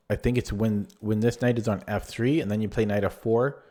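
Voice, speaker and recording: A man going over one of his own chess games move by move in a relaxed, rambling way, with a voice that is going hoarse. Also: fairly low pitch. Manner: rambling, many fillers and restarts. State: losing his voice. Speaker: chess player reviewing his own game. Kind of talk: casual spoken chess analysis.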